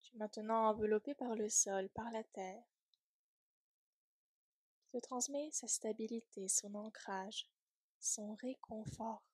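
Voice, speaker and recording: A woman leading a guided yoga nidra relaxation in a soft, breathy, soothing voice, gently trailing off at times toward a whisper. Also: fairly high pitch, steady tone, takes it slowly, pauses often, no room sound.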